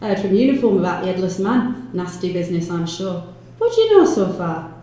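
A woman voicing a stern, gravelly male police chief superintendent in an audio story. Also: lowish voice, sing-song, fairly quick, warm tone. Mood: stern, brusque. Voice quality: gravelly, strained. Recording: echoey room, faint noise.

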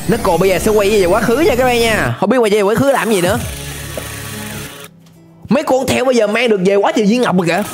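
A young man with a southern Vietnamese accent giving excited, playful, exclamatory running commentary as a reaction video host. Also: sits high, quick pace, pauses often. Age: young adult. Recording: music behind the voice.

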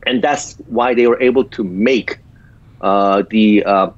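A man in his fifties from South Korea with a small Korean accent, speaking in halting sentences full of filler pauses as a guest doctor in a remote interview. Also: lowish voice, dry recording. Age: 50s. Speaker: guest physician. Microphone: video call. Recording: dull lo-fi video call audio.

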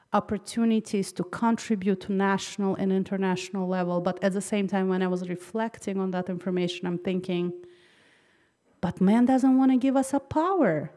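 A woman's voice: medium pitch, darkish tone. A young woman from Kazakhstan speaking earnestly and talkatively off the cuff into a handheld microphone from the audience. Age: young adult. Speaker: audience member asking a question. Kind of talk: impromptu, personal audience question. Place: large hall, seated in the audience. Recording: reverberant hall, handheld mic.

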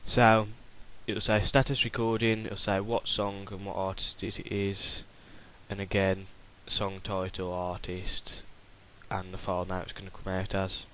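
A young man narrates a screen-recorded computer tutorial in a low, fairly flat voice. He talks loosely, with plenty of ums and restarts. A faint hiss and clicking sit under the recording. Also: measured pace, rough recording. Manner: rambling, informal, with many fillers and restarts. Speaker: software tutorial host.

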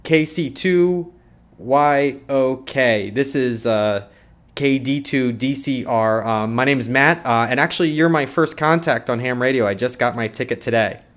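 Male, 30s, American, mid-pitched, casual vlogger talking at a desk indoors. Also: measured pace. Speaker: vlogger, new amateur radio operator. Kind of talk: casual talk to camera. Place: small indoor room at home.

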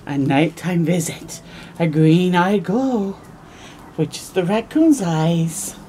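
Slow, mellow middle-aged woman reading aloud. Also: on the low side, a little hiss or hum. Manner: expressive, slow storytelling with gasps and drawn-out words. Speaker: children's storytime host. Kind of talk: read-aloud of a picture book with asides. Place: outdoors, porch or yard in early morning.

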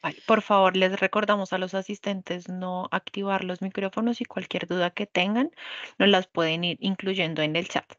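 A woman's voice: mid-range voice, fairly even intonation, normal speed, fairly bright tone, dry recording. Woman moderator, businesslike housekeeping note, muffled dry call audio. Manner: polite, businesslike. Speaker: webinar moderator. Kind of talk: brief housekeeping announcement. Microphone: video call.

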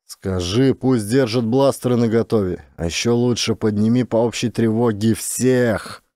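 A man's voice: mid-range voice, fairly even intonation, moderate pace, warm tone, no room sound, clean studio sound, close to an everyday voice. A man voicing a hard-bitten, wry male space officer in an audiobook, terse and emphatic. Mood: grim, wry.

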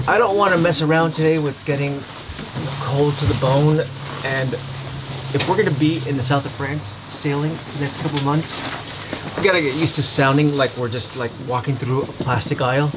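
Middle-aged male vlogger, slightly high and very lively, handheld cam on a boat, noisy marina. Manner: animated, joking. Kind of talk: casual vlog chat. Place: aboard a sailboat in a marina, indoors and out. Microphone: camera at arm's length.

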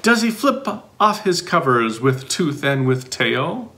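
Animated man reading a picture book, creaky voice. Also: medium pitch, slow pace. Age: middle-aged. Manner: expressive, sing-song questions with playful commentary. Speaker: volunteer storybook reader. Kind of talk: animated children's picture book read-aloud with asides.

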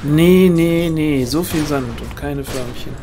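A man's voice: on the high side, slow pace. A man casually chatting while playing a co-op online game, dryly joking and grumbling at the game. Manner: dry, tells jokes, grumbles at the game. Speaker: let's play gamer. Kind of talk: casual co-op gaming chat. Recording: game music behind the voice.

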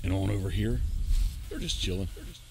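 Deep-voiced, folksy American man. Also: brisk, vocal fry, background noise. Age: middle-aged. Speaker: vlogger, boat captain. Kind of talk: casual outdoor vlog narration. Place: outdoors in a breezy waterside yard. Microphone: handheld camera at arm's length.

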